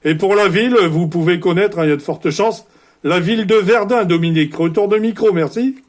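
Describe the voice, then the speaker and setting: A man's voice: high voice, fairly quick, slight background noise. A man speaks as a CB radio operator, courteous and orderly, in the set phrases of a radio contact. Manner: polite, orderly, formulaic sign-offs.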